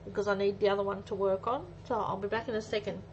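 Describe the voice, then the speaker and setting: A woman's voice: mid-range voice, little pitch movement, fast talker. A woman in her forties chatting casually with viewers as she hosts a live craft demonstration, thinking aloud as she goes. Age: 40s. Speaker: craft livestream host. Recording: muffled audio with faint background noise.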